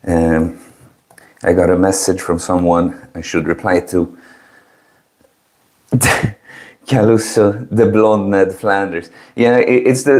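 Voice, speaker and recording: A man in his early thirties hosting a casual livestream, chatting with viewers in a chill, mellow, low-key manner. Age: early 30s. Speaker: livestream host. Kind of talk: casual chat with live stream viewers.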